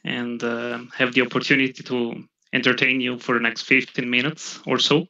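A man with an Italian accent gives a webinar talk in a bright, gravelly voice, stopping often to restart his sentences. The video call audio is dull and lo-fi. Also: medium pitch, level tone. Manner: halting, many fillers and restarts. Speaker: product marketing head presenting. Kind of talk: webinar presentation. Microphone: webcam video call.

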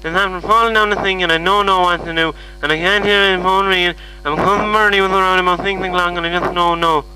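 A man's voice: sits high, steady tone, fast, pushed and bright, slight background noise. A man with an Irish accent voicing a confused, apologetic, hard-of-hearing middle-aged caller, hesitant and repeating himself in a prank phone call. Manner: muddled, hesitant, repetitive. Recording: humming phone call recording.

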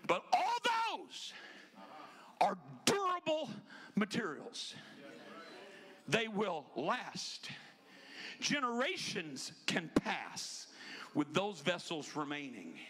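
A man in his fifties preaching a sermon in a church sanctuary, emphatic and often shouting, with quick joking asides. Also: high-pitched, wildly swooping pitch. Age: 50s. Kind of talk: energetic Pentecostal sermon. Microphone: handheld microphone.

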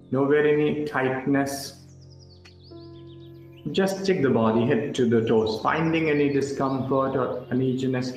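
Male meditation guide, mid-pitched and slow, calm and instructional, roomy sound with music beneath. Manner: calm, instructional, short phrases with pauses. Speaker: yoga instructor. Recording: roomy echo with music underneath.

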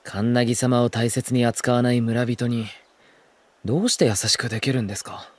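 A man's voice: medium pitch, normal speed, lightly acted. An actor playing an eager, affectionate, puppyish younger man in a Japanese drama CD. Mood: quiet, earnest. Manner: pleading, excitable, murmuring inner monologue.

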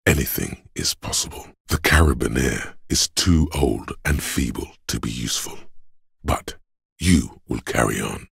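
A man voicing the grim inner voice of a body's endurance in a video game, raspy and gravelly, narrating slowly and ominously in the second person. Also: extremely low pitch, expressive, fairly bright tone, no room sound, clean studio sound, heavily acted. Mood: grave, resolute. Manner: slow, weighty, ominous.

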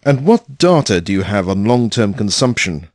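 A man's voice: lowish voice, sing-song, moderate pace, a little mellow. A man playing a blunt, dismissive, hard-nosed investor in an audio drama, curt and sardonic in manner. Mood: skeptical, brisk.

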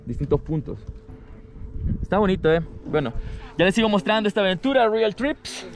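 A young man from Latin America excitedly vlogging his travels, talking to viewers as friends on an action camera held at arm's length. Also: sits high, normal speed, slight background noise. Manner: enthusiastic, exclaiming, addressing viewers as friends. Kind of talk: casual travel vlog. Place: cable car and mountain-top terrace, indoors and outdoors. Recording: music playing under the voice.

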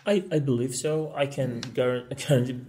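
Male guest from Morocco, mid-pitched, explains quickly and earnestly on a podcast. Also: fairly even intonation, dark tone. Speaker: law school technology guest. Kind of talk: podcast interview.

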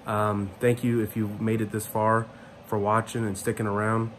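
A man's voice: fairly low pitch, flat delivery, normal speed, slight background noise. Casual man vlogging in a hotel room. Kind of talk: casual vlog sign-off to camera. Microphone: phone at arm's length.

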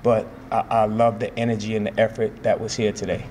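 A man in his forties, a head basketball coach, talking in a measured, steady way with many fillers and repeated phrases at a post-game press conference, speaking into table microphones. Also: lowish voice, a little hiss or hum. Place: press room in front of a sponsor backdrop.

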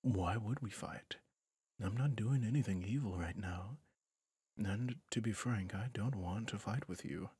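A man playing a weary, reflective villain in an ASMR roleplay, speaking softly and gently with deliberate pauses, breathy and often near a whisper. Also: lowish voice, no echo. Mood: calm, wistful. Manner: slow, soft, pensive, with deliberate pauses. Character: weary male villain, reflective, gentle toward his rival hero. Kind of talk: ASMR roleplay audio drama.

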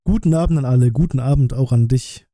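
A man's voice: mid-range voice, fast talker, warm tone, dry recording. A man in his thirties giving casual reaction commentary as a streamer, in blunt, slangy, colloquial speech on a close microphone. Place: small indoor streaming room. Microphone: close desk microphone.

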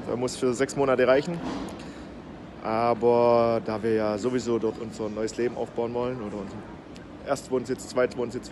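A man in his thirties filming himself at arm's length in an airport terminal, upbeat and hesitant with many fillers. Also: mid-range voice. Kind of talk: casual vlog talk to camera. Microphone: phone camera at arm's length. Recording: noisy airport ambience.

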